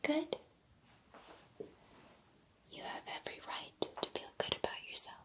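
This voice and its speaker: A woman voicing a gentle, encouraging combat training robot in a soft, breathy, measured ASMR roleplay whisper. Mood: warm, encouraging.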